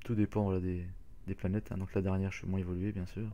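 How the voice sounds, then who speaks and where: A man's voice: sits low, little pitch movement, quick pace, dark tone, highs cut off. A man hosting a casual game walkthrough, talking hesitantly with many fillers and restarts. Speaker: gaming channel host.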